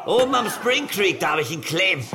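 An actor playing a drunken, boastful, crude gunman in a German western audio drama, slurring, leering and shouting taunts. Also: high voice, sing-song, a little fast. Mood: boastful, menacing. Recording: loud music and saloon crowd behind.

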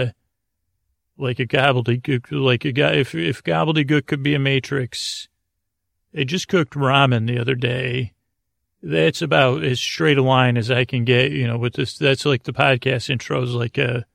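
A man hosts a bedtime podcast in a heavily fried, gravelly voice at an ordinary male pitch. He wanders through silly tangents, hesitating and restarting, often leaving sentences unfinished. The sound is dry, with no room echo at all. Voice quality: gravelly, creaky. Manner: meandering, hesitant, silly, trails off mid-sentence. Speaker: podcast host. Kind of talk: rambling bedtime monologue to help listeners fall asleep.

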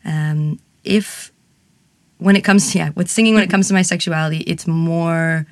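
Low, gravelly-voiced woman. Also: steady tone, normal speed, dry recording, clean studio sound. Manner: frank, laughs easily, many fillers. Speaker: burlesque singer, interviewee. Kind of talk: candid interview conversation.

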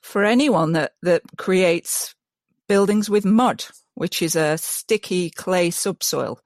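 A woman's voice: fairly low pitch, lively intonation, takes it slowly, darkish tone, no echo. Enthusiastic woman, dry close sound. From Yorkshire, England. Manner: enthusiastic, restarts often, many ums. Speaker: guest, mud mason. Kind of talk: casual interview chat.

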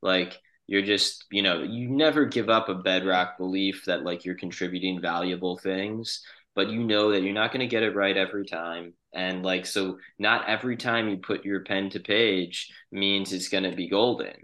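A man's voice: deep voice, steady tone, moderate pace, bright, forceful tone. A man in a casual podcast conversation, speaking in an earnest, agreeable and encouraging way.

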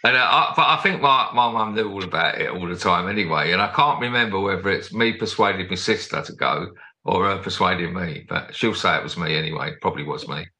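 Brief: male interviewer, encouraging, quick laugh, lower voice with a sharp, bright edge. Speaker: podcast host, interviewer. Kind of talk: casual interview chat.

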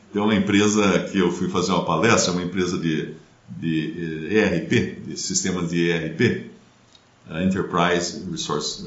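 A middle-aged man with a Brazilian accent, hosting a relaxed career chat and telling lively anecdotes with sound effects. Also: fairly low pitch. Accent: Brazilian Portuguese. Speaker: podcast host and speaker. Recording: roomy, dull-sounding.

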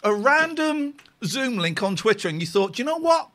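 A man's voice: extremely high pitch, sing-song, normal speed, a bit bright. A middle-aged man with an English accent, chatting casually as host of a live phone-in stream. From England. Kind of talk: casual live chat with callers.

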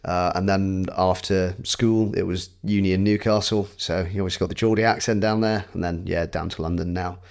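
Podcast guest: young man from scotland, deep voice, laid-back and self-mocking, no real accent. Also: fairly quick, vocal fry, hardly any pauses. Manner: relaxed, self-deprecating, many ums. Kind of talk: casual interview chat.

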